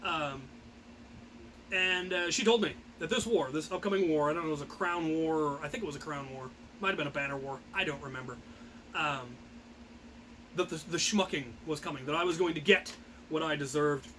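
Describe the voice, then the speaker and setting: A man with a high-pitched, bright voice spins a personal story. His delivery is animated and theatrical, with a grandiose flair. There is faint noise behind him. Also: brisk, low-quality recording. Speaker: storyteller and host.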